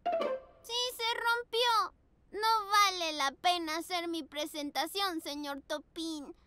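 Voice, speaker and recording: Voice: very high voice, slow. A performer voicing a sweet, earnest little girl pug in a children's cartoon, with a childlike delivery. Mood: dejected. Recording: music behind the voice.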